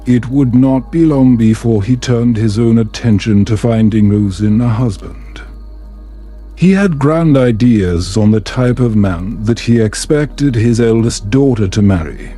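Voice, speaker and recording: Guy doing calm sleepy story narration, really slow n mellow, bit of music behind him. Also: medium pitch. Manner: slow, soothing, measured delivery.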